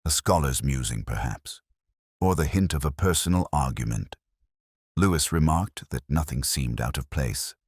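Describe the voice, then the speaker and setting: A man narrating a scripted first-person detective story in a measured, solemn, literary manner. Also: very deep voice, lively intonation, slightly rough, dry recording, studio quality.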